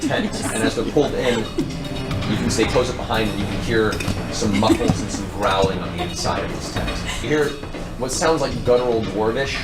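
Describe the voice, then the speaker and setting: A man acting as game master, improvising descriptive narration for a tabletop fantasy game with deliberate phrasing and dramatic pauses. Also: fairly low pitch. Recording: roomy, distant mics with music underneath. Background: background music.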